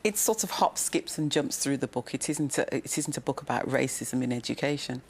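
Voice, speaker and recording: A woman's voice: rumbling low, expressive, fairly quick, a little mellow, breathy edge. A middle-aged woman giving her impressions in a conversational panel discussion about a book.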